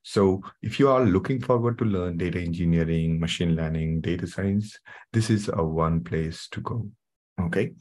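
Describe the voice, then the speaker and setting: Soothing low-voiced Indian man teaching. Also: normal speed, a little mellow. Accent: Indian English. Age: middle-aged. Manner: conversational, many fillers like "okay" and "right". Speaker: instructor presenting a webinar. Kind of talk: live online tech webinar.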